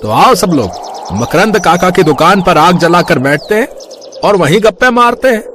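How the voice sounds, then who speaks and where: Man as a higher-pitched gossiping villager, noisy backdrop. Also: sing-song, normal speed, fairly bright tone. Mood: sociable. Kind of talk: acted story dialogue.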